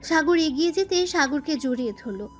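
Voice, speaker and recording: A woman narrates a scripted audio story. Her voice sits high, and music plays behind her. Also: expressive, normal speed. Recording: music bed under the voice. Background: background music.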